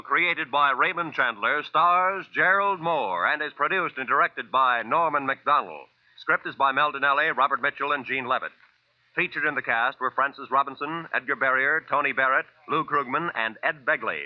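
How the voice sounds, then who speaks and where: A man's voice: mid-range voice, moderate pace, dry recording. An American man announcing for network radio in a polished, formal, scripted 1940s style. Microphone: dry studio broadcast mic. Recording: muffled vintage radio recording, faint music.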